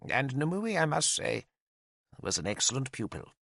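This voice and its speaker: A man playing a blind old druid, a theatrical, emphatic storybook character who rambles half-mad and is quick to take offence. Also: medium pitch, sing-song, vocal fry, a bit bright, dry recording, studio quality, exaggerated voice. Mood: indignant, lecturing. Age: older.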